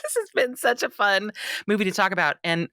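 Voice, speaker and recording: A woman co-hosting a podcast in casual comedic chat, lively and teasing, asking playful questions. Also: mid-range voice, dry recording, clean studio sound. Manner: lively, teasing, quick to laugh along. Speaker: podcast co-host.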